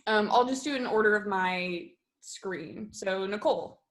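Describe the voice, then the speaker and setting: A woman leads a relaxed group discussion on a video call, putting questions to her collaborators. Her voice sits at an ordinary middle pitch and she speaks informally. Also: moderate pace. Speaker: discussion moderator and theatre collaborator. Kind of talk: casual post-show group discussion.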